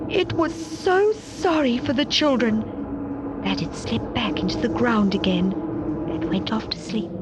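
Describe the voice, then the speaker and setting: A woman reading a children's story expressively, with dramatic repeats and questions to young listeners. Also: on the high side.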